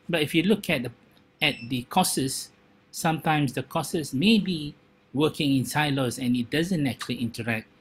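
A man presenting at an online academic panel in a measured, slightly hesitant way. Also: medium pitch, slight background noise. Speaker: panel speaker, professor. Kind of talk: conference presentation. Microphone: video call.

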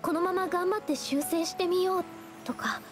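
A high-pitched young woman painter from an anime game, shy and self-doubting. She speaks softly and earnestly in a hesitant, reflective way, with clear background music. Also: fairly even intonation, normal speed. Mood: wistful.

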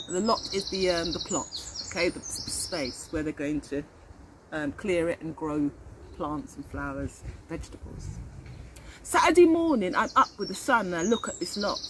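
A middle-aged woman, a teacher reading a picture book aloud to young children in an animated way and explaining as she goes. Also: a little high. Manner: animated, questioning, addresses children directly. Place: outdoors in a leafy garden. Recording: outdoor recording with birdsong and ambient noise. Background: birdsong.

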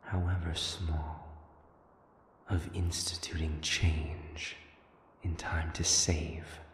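A man playing a cold, patient otherworldly rebel leader in an audio drama, with a hushed, deliberate, menacing delivery. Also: extremely low pitch, level tone, full character voice. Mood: cold, resolute. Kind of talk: dramatic audio roleplay acting. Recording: echoey hall reverb with noisy ambience.

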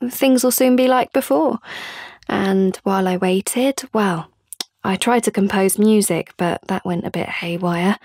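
A woman's voice: a little high, darkish tone, dry recording, close to an everyday voice. Actress as a patient young girl in her twenties, chatty, confiding, really dry close recording. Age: young adult. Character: patient young woman musician pining for her friend. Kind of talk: audio play monologue.

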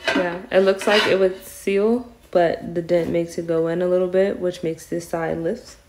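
A woman's voice: lowish voice, little pitch movement, moderate pace, a little mellow. A woman chatting casually as an off-camera companion in a home video. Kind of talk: casual chat. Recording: clattering household noise behind.

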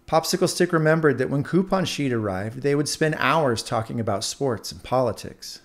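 A man narrating a scripted children's story aloud in an expressive storyteller's manner. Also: mid-range voice, moderate pace. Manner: expressive, animated.

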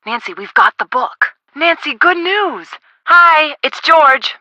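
A woman voicing a friendly young woman in a video game, casual, expressive and helpful. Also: sits high, takes it slowly, dry recording, exaggerated voice, poor audio. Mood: excited.

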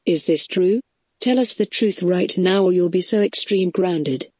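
A synthetic male voice as a stern husky parent. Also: high voice, measured pace, no echo, studio quality.

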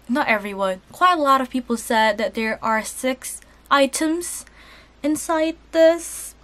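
A young woman with a cold, chatting excitedly as she unboxes and shows clothes in a haul video. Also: on the high side. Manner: chatty and excitable, chuckles at prices. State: has a cold. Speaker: channel host unboxing a lucky bag. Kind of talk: casual haul vlog voice-over. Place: indoors at a table.

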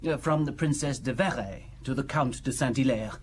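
An actor playing a fussy, discreet Foreign Office official in a radio drama, formal, hesitant and officious. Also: male voice, medium pitch, normal speed, lightly acted. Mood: fussy, evasive. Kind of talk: British radio drama acting. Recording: hissy old radio broadcast.

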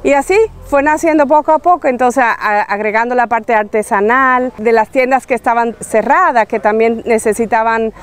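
A woman's voice: a little high, normal speed, few pauses, clear, resonant tone. A middle-aged woman explaining things casually in an outdoor interview as a market organizer.

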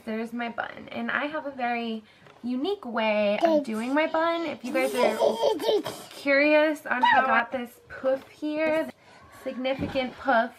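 A young woman in her twenties chatting casually in a bedroom haul vlog, rambling with lots of fillers, her voice slightly hoarse. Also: sits high, noisy background. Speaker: vlogger. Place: bedroom, indoors.

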